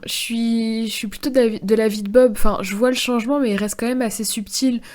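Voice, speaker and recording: A woman's voice: on the high side, steady tone, fast, a little mellow. A woman on a podcast panel, chatting casually and thinking aloud with self-corrections. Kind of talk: casual discussion.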